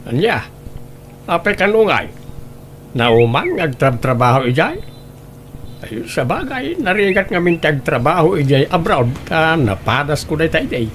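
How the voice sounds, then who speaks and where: A man acts an easygoing older relative in a comedic radio drama. His voice is fairly high and very animated, chatting over a faintly noisy track. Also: normal speed. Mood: chatty.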